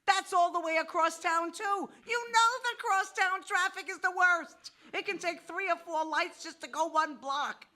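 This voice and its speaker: A woman's voice: extremely high pitch, normal speed, few pauses, sharp tone. An actress playing an exasperated, sarcastic New York woman who works in banking, lecturing in a live audio play. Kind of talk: live stage audio drama.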